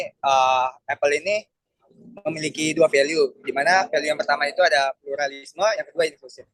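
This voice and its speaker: A young man from Bekasi giving his part of a class presentation. He explains formally and pauses on "eh". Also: a little high, fairly bright tone, dry recording, poor audio. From Bekasi, Indonesia. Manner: formal, explanatory, frequent "eh" hesitations. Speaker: student presenter.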